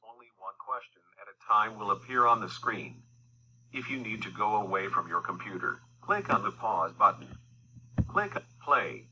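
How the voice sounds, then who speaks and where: A man's synthetic read-aloud voice from testing software, reading instructions and questions in a flat, clipped way. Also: fairly low pitch, background noise. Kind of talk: synthetic screen reader narration.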